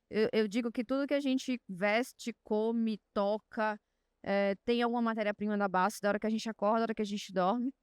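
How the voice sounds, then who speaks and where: Fluent Bahian woman with a crisp voice. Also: mid-range voice, no room sound, studio quality. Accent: Bahian Portuguese. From Salvador, Bahia, Brazil. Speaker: panelist, innovation executive. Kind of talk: panel talk.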